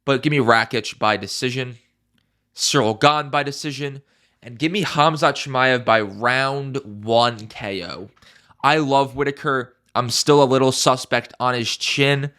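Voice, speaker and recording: A man's voice: medium pitch, unhurried, bright, forceful tone. Stuffy-nosed man talking fight picks. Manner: emphatic, repeats key phrases, swears casually. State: congested, stuffy. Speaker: MMA YouTuber. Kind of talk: casual fight predictions.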